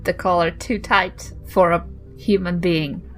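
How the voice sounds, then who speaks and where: A woman's voice: on the low side, steady tone, a little slow, soft background music, rough recording. A woman chatting casually as a player in a tabletop role-playing game.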